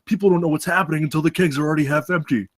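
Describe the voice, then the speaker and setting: A man reading an eager, excitable campus security role at a script table read. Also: a little high, very fast, dry recording, close to an everyday voice. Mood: explaining.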